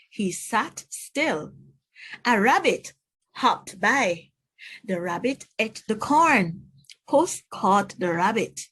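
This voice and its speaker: Slow, clear teacher reading a storybook. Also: female voice, medium pitch, fairly bright tone, low-quality recording. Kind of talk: children's read-aloud narration.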